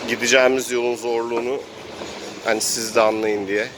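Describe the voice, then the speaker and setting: A man's voice: medium pitch, little pitch movement, fairly quick, background noise. A man casually improvising narration as he plays a role-playing game.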